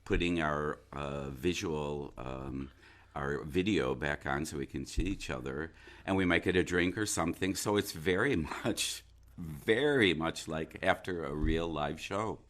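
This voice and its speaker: Male actor in casual conversation, very low voice, slow and halting, with false starts and reflective delivery. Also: a bit bright. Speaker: stage and radio actor.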